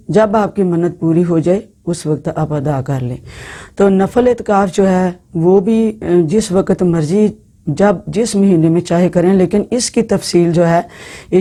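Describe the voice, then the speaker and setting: A woman giving informal Islamic religious instruction to women, speaking in an instructive way with halting phrasing and repeated words. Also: on the low side, warm tone. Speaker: religious teacher.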